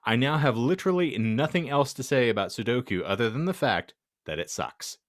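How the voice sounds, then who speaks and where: A man's voice: medium pitch, lively intonation, fairly quick, no echo, studio quality. A man hosting a comedy podcast, reading fan fiction aloud in a profane, mock-exasperated way between casual chat. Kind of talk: comic dramatic reading mixed with casual chat.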